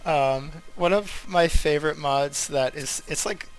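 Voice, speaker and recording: A man giving casual live video game commentary as a streamer, rambling and thinking aloud with halting pauses. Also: on the high side, faint music behind, low-quality recording.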